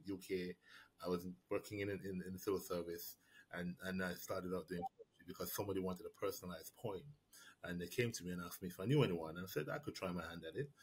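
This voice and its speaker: A man's voice: deep voice, husky, poor audio. A man, answering questions about his writing over a video call, thoughtful and prone to restarting his sentences. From Jamaica. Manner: reflective, frequent restarts and repeated words. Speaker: prize-winning writer answering questions. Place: small room indoors. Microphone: webcam or laptop mic on a video call.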